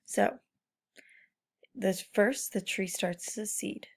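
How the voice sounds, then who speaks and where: Lady teacher doing a casual lesson for kids, lots of vocal fry, asks guiding questions. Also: mid-range voice, takes it slowly. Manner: instructional, asking guiding questions and prompting. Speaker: schoolteacher. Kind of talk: informal read-aloud lesson for children.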